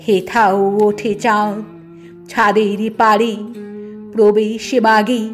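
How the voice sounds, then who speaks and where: A woman reciting a poem expressively, in measured, lingering short phrases with deliberate pauses. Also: medium pitch, little pitch movement, soft background music. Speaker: poetry reciter. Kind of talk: expressive Bengali poetry recitation.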